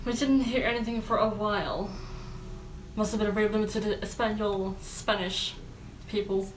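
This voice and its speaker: A woman's voice: mid-range voice, steady tone, moderate pace, slight background noise. A woman giving casual, chatty and rambling commentary as a reaction video host. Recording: roomy echo, distant mic, faint music.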